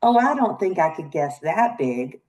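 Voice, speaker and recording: Big father hare played by a woman, children's storybook. Loving, playful, gentle and reassuring. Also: lowish voice, big pitch swings, measured pace. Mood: fond, playful. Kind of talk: storybook character voice.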